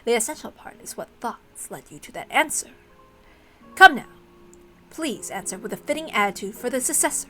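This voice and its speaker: A woman voicing a polite, suave male demon butler who speaks formally in a measured, condescending and cruelly amused way, as in a visual novel. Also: on the high side, lively intonation, pushed and bright, faint music behind. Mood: polite menace.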